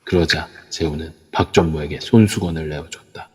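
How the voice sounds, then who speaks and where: A man's voice: extremely low pitch, brisk, a little mellow, husky, long reverb tail. A man narrating an audio drama steadily from a script.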